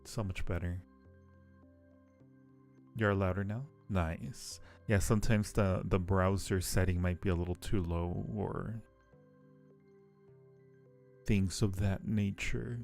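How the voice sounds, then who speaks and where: A man's voice: low voice, fairly even intonation, slow pace, creaky, long pauses, darkish tone, faint music behind. A man chatting casually and playfully with viewers on a livestream, teasing them affectionately. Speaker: live streamer hosting a chat.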